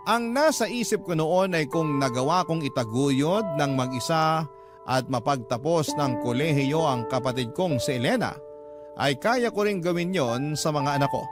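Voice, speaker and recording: An actor playing a devoted Filipino family man, telling his life story in a measured, heartfelt radio storytelling style. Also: a little high, lightly acted. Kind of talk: dramatized first-person radio storytelling. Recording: clear guitar music bed behind narration.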